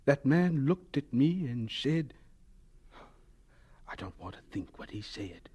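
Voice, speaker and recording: An actor playing a humble, earnest man in an audio drama, gentle and deferential in manner. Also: medium pitch, sing-song, slow, breathy edge, exaggerated voice. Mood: wistful. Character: humble, earnest scientist recalling his hopeful, polite younger self.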